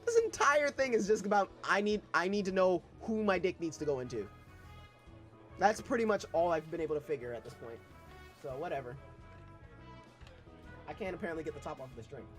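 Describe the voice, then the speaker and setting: A man's voice: fairly high pitch, animated, brisk, pauses often, faint music behind. A young man reading a novel aloud in first person, breaking off for irreverent, joking asides. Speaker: reader of a novel.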